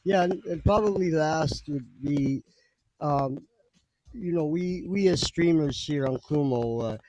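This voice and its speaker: A man's voice: on the high side, measured pace, darkish tone, dry recording, highs cut off. Laid-back male podcast host. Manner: laid-back, frequent "right, right" backchannels. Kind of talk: casual interview questions.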